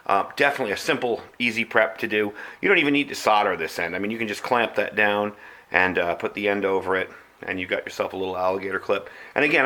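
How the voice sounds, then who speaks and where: A man's voice: fairly low pitch, fairly bright tone. A middle-aged man with an American accent giving a casual, conversational product review, explaining things as he demonstrates them.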